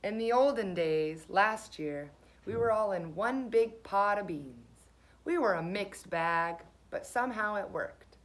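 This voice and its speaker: A woman reads a children's story aloud at a very unhurried pace. Her pitch sits in a middle range for a woman, and she leans on key words with dramatic, expressive emphasis, like a teacher reading to young children.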